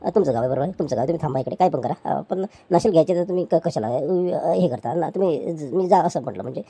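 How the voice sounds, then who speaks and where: A woman's voice: low voice, lively intonation, fast, warm tone, breathy edge, no room sound, heavily acted. A woman voicing a poor, meek male chair seller who pleads and placates with stammering restarts. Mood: placating.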